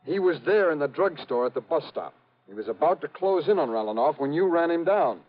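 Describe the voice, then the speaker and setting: An American actor playing an investigator's anxious, exasperated colleague in a golden age radio drama, insistent and emphatic. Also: male voice, fairly high pitch, expressive, a little fast, natural voice. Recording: muffled vintage radio, faint noise.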